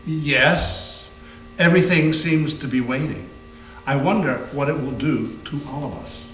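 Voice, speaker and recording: A man's voice: fairly high pitch, measured pace, fairly bright tone. A man playing a grave, eager doctor who investigates hauntings, in an amateur readers theater performance. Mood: grave, warning. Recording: echoey room with music behind.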